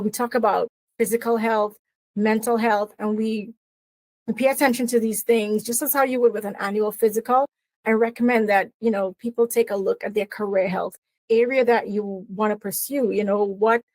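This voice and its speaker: A woman's voice: mid-range voice, fairly quick, darkish tone, dry recording, low-quality recording. A middle-aged woman from Trinidad and Tobago with a Trinidadian accent, an earnest interview guest who talks about her career coaching with many fillers and restarts. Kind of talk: interview conversation.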